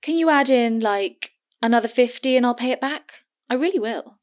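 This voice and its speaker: A woman's voice: on the high side, a little fast. Haughty, needling woman on the phone. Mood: bargaining, curt. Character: Birdie, cagey mocking woman selling her testimony. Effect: phone line filter. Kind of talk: naturalistic audio drama acting. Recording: tinny narrow phone line.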